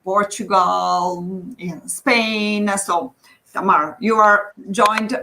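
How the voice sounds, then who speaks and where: A woman's voice: medium pitch, creaky. Italian lady hosting a livestream, laughs a lot, takes her time, bit of faint noise in the background. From Italy. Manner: laughs often, halting phrasing. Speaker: host. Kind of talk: casual livestream chat.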